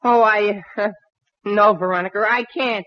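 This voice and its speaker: A man's voice: sits high, steady tone, normal speed, natural voice. An actor playing a droll, reluctant, whiny teenage boy sidekick in a 1940s radio comedy. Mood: evasive, amused. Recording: muffled 1940s radio broadcast.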